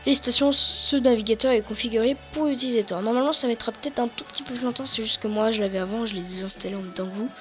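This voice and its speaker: A teenage girl from France recording a casual computer tutorial at home, a bit hoarse, rambling with many fillers and restarts. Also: a little high, racing, few pauses, music in the background. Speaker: tutorial YouTuber.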